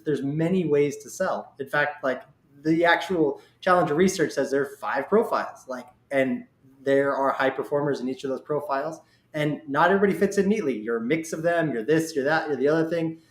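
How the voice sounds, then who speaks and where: A man's voice: a little high, roomy sound. A man hosting a casual conversational podcast, talking informally and often acting out short quotes. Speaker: podcast host.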